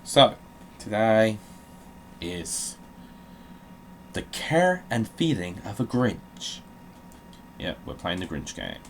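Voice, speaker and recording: A man with a fairly low voice gives casual video game commentary at a very unhurried pace. He comes across as dry and self-mocking, grumbling as he plays. There is some faint noise in the background. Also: big pitch swings. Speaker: video game show host. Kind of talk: casual gaming let's-play commentary.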